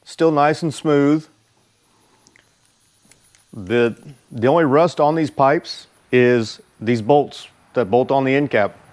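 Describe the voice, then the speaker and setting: A man giving a casual product review as a motorcycle vlogger, speaking informally and haltingly. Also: mid-range voice, a little mellow. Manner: informal, halting, unhurried delivery.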